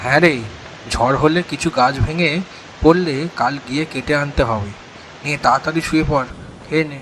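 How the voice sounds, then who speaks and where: A man's voice: on the high side, fairly quick, noisy background, close to an everyday voice. A man playing a hardworking village father and woodcutter in a cartoon, talking in short, instructing phrases. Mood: matter-of-fact. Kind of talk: cartoon dialogue. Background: background music.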